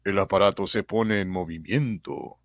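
A man narrating a radio adventure serial in a measured storytelling manner. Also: low voice, no echo, clean studio sound. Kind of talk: radio drama reading narration.

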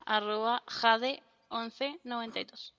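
A woman's voice: fairly high pitch, steady tone, fairly bright tone, smooth. Hesitant woman on a distant, echoey line. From Colombia. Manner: hesitant, halting. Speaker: podcast contributor. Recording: distant, dull, reverberant call audio.

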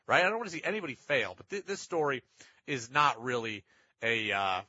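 A man's voice: mid-range voice, sing-song, fairly quick, pushed and bright, slightly rough, no echo, dull audio. A man hosting sports talk radio, giving opinionated commentary in an emphatic way and repeating points for effect.

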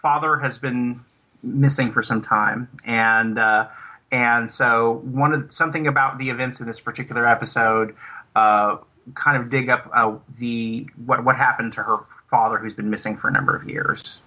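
A man talking casually as an interview guest, explaining his audio drama work in a halting, rambling, self-correcting way. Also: medium pitch, fairly even intonation, bright, forceful tone. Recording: dull lo-fi connection.

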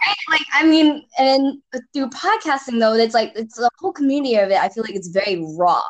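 A woman's voice: fairly high pitch, fairly quick, rough recording. A young woman from Singapore with an American accent that carries Singaporean traces, chatting expressively as a podcast guest and laughing often. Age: young adult. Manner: lively, expressive, laughs often, swears casually. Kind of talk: casual chat.

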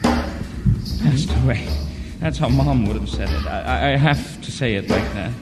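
A man playing a haunted, ailing man who narrates his journal and speaks in an audio drama, halting and repeating his words. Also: medium pitch, natural voice. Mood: distraught, grieving. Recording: long hall reverb, music behind. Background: music.

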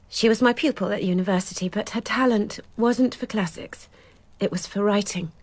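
An actress playing a stern, cultured classics academic in a British radio drama, formal, clipped and disdainful. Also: female voice, fairly low pitch, slightly rough, no room sound.

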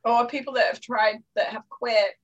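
A woman's voice: a little high, steady tone, moderate pace, lo-fi sound. A woman co-hosting a podcast, chatting casually and putting in dry, wry asides. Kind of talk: casual chat.

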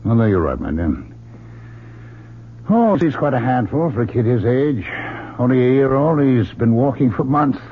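A man's voice: mid-range voice, sing-song, normal speed, pauses often, husky. An actor playing a weary, irritable academic husband and worried father in an old-time radio drama. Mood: uneasy, reproachful. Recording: tinny, band-limited old radio broadcast.

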